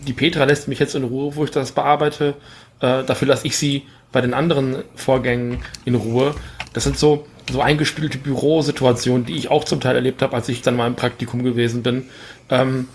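A man's voice: medium pitch, level tone, fairly quick, a little hiss or hum. A man telling small anecdotes in casual conversation.

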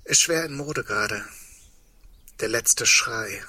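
A husky male voice with a bright, forward edge and a middle pitch. He is a wry, digressive man facing the end with quiet hope. The delivery is reflective and understated, as in an intimate, naturalistic radio play. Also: slow, full character voice. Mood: quietly resigned.

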